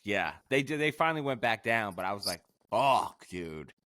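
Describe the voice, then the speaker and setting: A man's voice: on the low side, sing-song, moderate pace, sharp tone, no echo. A man co-hosting a podcast, casual and laid-back, telling stories with some swearing. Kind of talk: casual chat.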